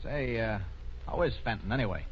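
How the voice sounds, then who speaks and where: Man acting casual, tough radio highway patrolman, hissy recording. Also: expressive, a little fast, close to an everyday voice. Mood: casual, probing. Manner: casual, slangy, matter-of-fact. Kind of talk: 1930s radio drama acting. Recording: muffled, noisy 1930s radio recording.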